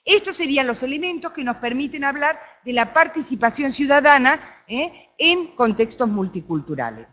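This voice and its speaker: Lively Argentine woman giving a lecture. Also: sits high, normal speed, dull audio. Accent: Argentine Spanish. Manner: animated, with frequent tag questions. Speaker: conference speaker. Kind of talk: academic conference talk. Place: conference room.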